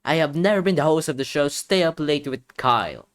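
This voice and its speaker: A man voicing an erratic, self-important male talk show host from a game story, dramatic and halting in delivery. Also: fairly high pitch, animated, voice pushed up, lightly acted. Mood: dramatic, defiant.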